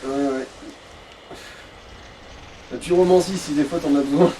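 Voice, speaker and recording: A man's voice: a little high, steady tone, measured pace, dark tone. A man chatting casually as a gaming co-commentator, informal and teasing as he gives advice. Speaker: co-commentator giving game advice. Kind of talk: casual gameplay chat. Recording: distant mic, clear background noise.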